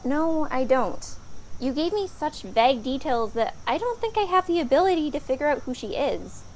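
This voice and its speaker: A young fairytale maiden , played by a woman who has no patience for romance. Her high voice swings widely with blunt, casual, modern sarcasm and exasperation. The audio drama recording carries faint background noise. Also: expressive, moderate pace, rough recording. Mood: exasperated. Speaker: voice actor.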